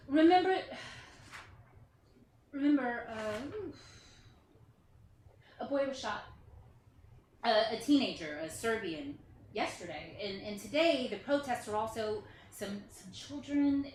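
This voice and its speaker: A woman playing a brisk, frank aid worker in a staged play reading, emphatic and sometimes halting. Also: on the high side, very animated, a little mellow. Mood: grave, urgent. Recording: roomy, distant mic, faint noise.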